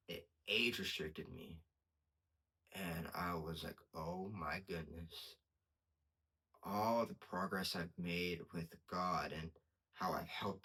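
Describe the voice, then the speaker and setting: A young man with a stutter gives a casual personal testimony as a podcast host, repeating words and pausing mid-sentence. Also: low-pitched, pushed and bright, husky, poor audio.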